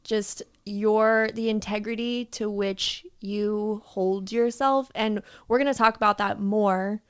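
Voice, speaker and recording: Bubbly woman hosting a podcast, smooth voice. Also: medium pitch. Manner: bubbly, laughs often, self-teasing. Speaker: podcast host. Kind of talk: casual podcast chat.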